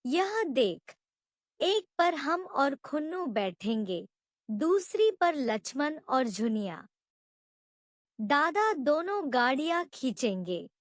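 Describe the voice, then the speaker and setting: A woman storyteller voicing an eager, boastful village boy with an excited, childlike manner. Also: high voice, slow pace, dry recording, clean studio sound, full character voice.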